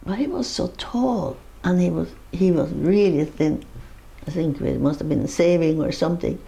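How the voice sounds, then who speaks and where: A woman's voice: fairly low pitch, normal speed, gravelly, mellow. An older woman with a Norwegian accent, recalling her life in a personal interview, rambling through her story with laughs and restarts. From Norway.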